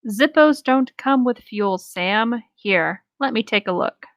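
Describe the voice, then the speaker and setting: A woman's voice: on the high side, animated, slow, no echo, studio quality. A woman casually reading a first-person story aloud and chatting between lines. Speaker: co-reader of an internet story.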